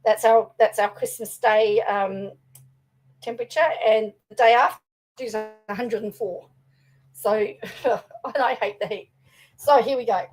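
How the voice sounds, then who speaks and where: A young woman talks through commentary for her channel, her fairly high voice slightly raspy after a day of singing. She rambles along casually, looping back with ums and restarts as she shares her opinions. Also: a little slow, low-quality recording. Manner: chatty, rambling, with fillers and restarts. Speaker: vlogger and law student.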